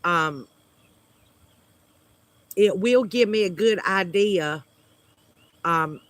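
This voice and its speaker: A man's voice: sits high, very slow, a bit bright, no echo. A man with a Southern US accent hosting a homesteading livestream from outdoors at home. He chats casually with viewers in a hesitant, friendly way with many fillers. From United States. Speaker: homesteading channel host.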